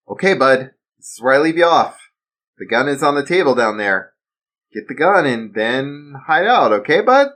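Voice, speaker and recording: A man voicing a retired assassin father, steady and blunt, protective of his son. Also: mid-range voice, normal speed, pitched above the performer's own voice. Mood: reassuring. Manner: reassuring to his son, defiant to enemies. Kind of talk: amateur storytelling.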